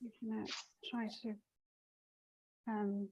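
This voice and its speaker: A woman's voice: mid-range voice, flat delivery, takes it slowly, breathy edge, dull audio. A woman from Nepal, giving an academic conference presentation over a video call, hesitant with many fillers and restarts.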